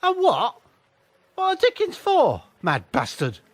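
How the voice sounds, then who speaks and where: A man's voice: very high voice, wildly swooping pitch, slow, no room sound, heavily acted. A man playing a coarse, questioning First World War infantryman in a radio drama. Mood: incredulous.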